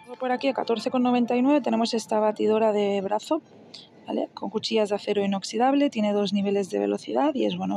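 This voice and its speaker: A woman's voice: mid-range voice, creaky, dark tone, slight background noise. A young woman from chatting casually through a shopping haul, often checking in with her viewers and correcting herself as she goes. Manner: chatty, self-correcting, frequent "¿vale?" check-ins. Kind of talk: casual product walkthrough.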